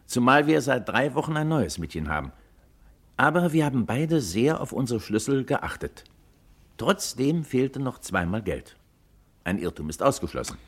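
Husky, troubled gentleman husband. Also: medium pitch, very animated. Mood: puzzled, explaining. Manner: deliberate, explanatory, carefully emphatic. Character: puzzled, well-off husband confiding in an old friend. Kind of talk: 1950s German radio crime drama acting.

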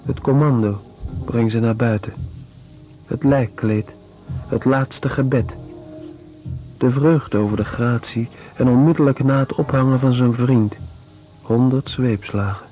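A male actor narrating a radio drama as a sober, deliberate chronicler of grim events. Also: mid-range voice, fairly even intonation, soft background music, lightly acted. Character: sober chronicler recounting prison colony horrors. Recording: dull lo-fi radio play recording.

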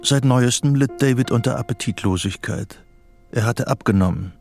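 Ein Schauspieler als sachlicher Erzähler mit knarrender Stimme. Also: male voice, medium pitch, normal speed, a little mellow, soft background music, lightly acted. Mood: sober, measured. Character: sober third-person male narrator of a psychological thriller. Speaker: narrator. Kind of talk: scripted literary radio drama narration.

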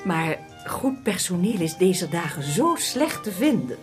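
A woman's voice: on the low side, very animated, measured pace, music in the background. An actress playing a genteel, scheming widowed lady in a radio drama, speaking politely and formally. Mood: gracious, composed.

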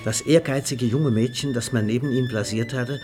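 An actor as a wry storyteller, reading scripted literary narration in a radio play. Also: male voice, mid-range voice, moderate pace, lightly acted. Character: wry storyteller of a boozy party. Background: music.

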